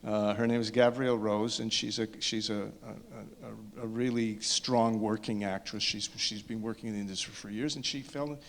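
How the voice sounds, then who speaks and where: A man answering questions as a filmmaker on a panel, speaking haltingly, repeating words as he thinks aloud. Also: fairly low pitch, steady tone, gravelly. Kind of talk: post-screening question and answer talk.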